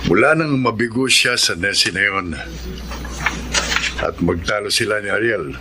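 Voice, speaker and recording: A man's voice: lowish voice, measured pace, noisy background, lightly acted. A man playing a patient but firm middle-aged father in a Filipino radio drama. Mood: concerned. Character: patient, firm father counselling his grown son. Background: background music.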